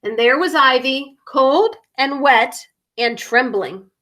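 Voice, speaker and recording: Bright, high-pitched woman reading children's stories. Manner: dramatic, deliberate enunciation with emphasized sound words. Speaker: storyteller reading a picture book to children. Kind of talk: expressive children's story reading.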